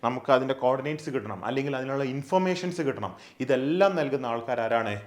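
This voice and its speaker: A young man teaching an exam prep lesson. He asks questions and answers them himself, and he keeps adding quick tag questions. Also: mid-range voice. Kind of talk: explainer lesson on an exam, mixing English terms. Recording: echoey room.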